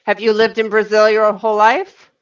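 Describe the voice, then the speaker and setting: A woman with a North American accent, explaining things to a learner in casual conversation, in measured phrases. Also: medium pitch, monotone, clear, resonant tone. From Canada and the northeastern US. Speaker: conversation partner. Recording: dull lo-fi online call audio.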